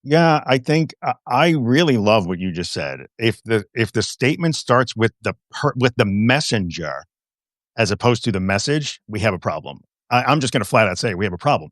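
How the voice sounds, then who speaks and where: A man's voice: fairly low pitch, sing-song, normal speed, a bit bright, no echo. Frank, emphatic man in a dry room. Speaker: therapist and guest. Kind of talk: conversational interview.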